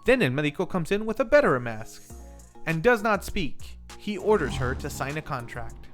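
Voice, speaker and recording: A man's voice: on the high side, very animated, moderate pace. A man hosting a review video, reading scripted narration in a conversational way and addressing viewers directly. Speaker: host of a film review channel. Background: music under the voice.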